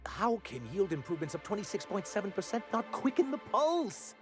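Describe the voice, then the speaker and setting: A man's voice: high voice, big pitch swings, a little fast, soft background music. An actor playing a flamboyant, vain male corporate executive who talks in a theatrical, florid way full of innuendo. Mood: grandiloquent.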